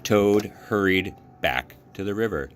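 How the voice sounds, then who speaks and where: Man, children's read-aloud, low pitch, lively intonation, deliberate expressive phrasing, library storyteller. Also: faint music behind.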